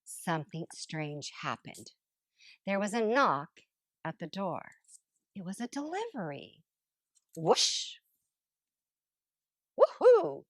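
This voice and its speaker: A woman reading a children's picture book aloud like a school librarian, with dramatic pauses and stretched words. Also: fairly low pitch, big pitch swings, fairly bright tone, no room sound, studio quality.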